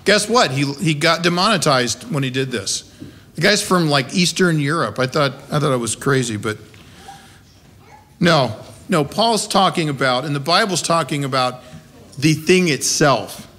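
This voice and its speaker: American male preacher, middle-aged or older, slightly high voice, conversational yet emphatic, pulpit microphone in a small church. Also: animated, normal speed, pushed and bright. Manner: conversational, emphatic, rhetorical questions. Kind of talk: sermon. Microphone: gooseneck pulpit microphone.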